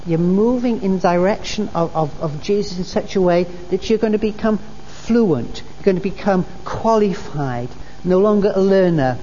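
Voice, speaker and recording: A woman's voice: medium pitch, lively intonation, normal speed, hardly any pauses, warm tone. A woman preacher delivering a sermon, earnest and repeating her key phrases for emphasis. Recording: dull recording in a reverberant hall with faint noise.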